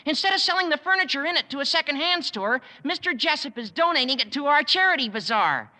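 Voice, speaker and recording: An actor playing an eager, fast-talking teenage schoolboy in a 1950s radio sitcom, with a high, youthful voice. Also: male voice, animated, bright, forceful tone, heavily acted. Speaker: student. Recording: old radio broadcast with long reverb.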